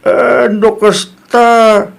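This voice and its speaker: Man acting as peacemaking husband, fairly high voice, admonishing, family comedy drama. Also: flat delivery, extremely slow, vocal fry, full character voice. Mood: calm, concerned. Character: man called uncle, husband and son, peacemaking and preachy. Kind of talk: Filipino comedy drama dialogue in Ilocano.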